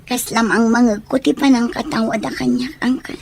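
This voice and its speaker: A young Filipino daughter in a radio drama , played by a woman, speaking in a very high voice. She is earnest and easily hurt. A little background noise sits under her. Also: steady tone, brisk, lightly acted. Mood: ashamed, regretful. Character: sincere, wounded young Ilocano daughter. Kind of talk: radio drama acting in Ilocano.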